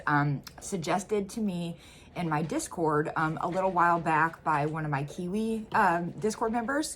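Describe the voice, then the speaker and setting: A woman's voice: fairly low pitch, a little hiss or hum. A woman from the United States hosting a reaction video from home, chatting casually with plenty of ums and uhs. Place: home room.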